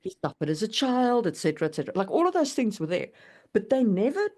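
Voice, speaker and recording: A woman's voice: mid-range voice, sing-song, quick pace, darkish tone. A woman co-hosting a casual podcast chat about writing, emphatic and given to repeating words for stress. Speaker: podcast co-host and writing critic.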